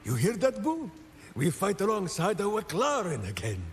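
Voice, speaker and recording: An actor playing a hearty, earnest hulking ranger in a video game, grandly speaking of himself in the third person. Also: male voice, sits high, wildly swooping pitch, normal speed, soft background music. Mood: hearty, affectionate. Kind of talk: theatrical video game dialogue.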